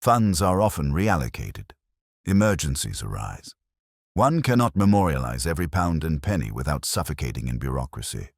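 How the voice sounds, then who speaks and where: A man voicing a cold, evasive older male town official in a measured, formal, condescending way. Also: a bass voice, very animated, no room sound, clean studio sound, heavily acted. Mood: guarded, condescending.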